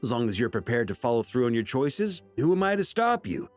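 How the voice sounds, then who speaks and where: A man's voice: mid-range voice, big pitch swings, fairly quick, faint music behind, lightly acted. A man voicing an easygoing, roguish middle-aged knight commander in an anime game, talking in a casual, joking way. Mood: reflective, easygoing.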